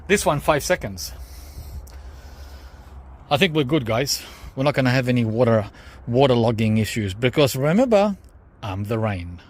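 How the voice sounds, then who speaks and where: A man's voice: medium pitch, big pitch swings, normal speed, long pauses. A man whose first language is Greek, hosting a gardening vlog outdoors, tired after digging, explaining each step and calling viewers guys. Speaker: gardening channel host. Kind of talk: casual vlog talk to camera.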